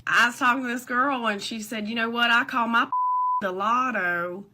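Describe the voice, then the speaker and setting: A woman's voice: a little high, moderate pace. A woman telling a casual, joking anecdote in a noisy clip.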